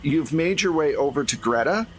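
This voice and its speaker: A man's voice: a little high, expressive, moderate pace, poor audio. A man acting as game master, narrating a tabletop role-playing game to the players. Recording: faint background noise.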